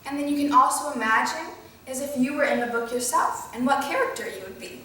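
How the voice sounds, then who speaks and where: A cheerful young storybook princess played by a woman speaks in a high voice with friendly, simple explanations for children. She sounds distant from the mic in a very echoey room, with music behind her. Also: fast, sharp tone. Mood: friendly, upbeat. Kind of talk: scripted children's educational presentation in character.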